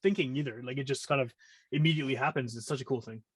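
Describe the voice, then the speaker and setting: Podcast guest: Canadian male, about forty, brisk conversational delivery, mid pitch, dry sound. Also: husky, no room sound. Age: around 40. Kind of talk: casual conversational interview.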